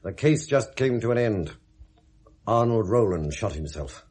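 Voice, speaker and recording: British male, 1970s radio drama: dry, probing police detective, lower register, very varied delivery. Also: animated, unhurried, darkish tone, slightly rough. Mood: brisk, grave. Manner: wry, questioning. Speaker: actor in a radio play.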